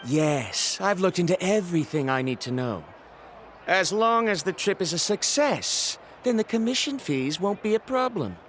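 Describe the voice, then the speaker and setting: A man's voice: high-pitched, big pitch swings, normal speed, a bit bright, slight background noise. A man playing an earnest, determined scholar in a video game, speaking formally and politely. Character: earnest, determined male scholar seeking to hire a guide. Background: music.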